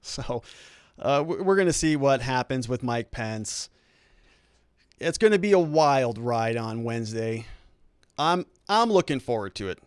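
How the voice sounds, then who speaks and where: A man's voice: mid-range voice, expressive, measured pace, smooth, dry recording, studio quality. A man with an American accent giving conversational political commentary as a host, explaining points and posing rhetorical questions.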